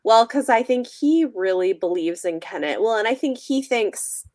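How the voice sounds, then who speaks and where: A woman's voice: on the high side, expressive, normal speed, darkish tone, no echo, studio quality. A woman co-hosting a casual book discussion, thoughtful and wry, full of likes and restarts, on a video call. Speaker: book club co-host.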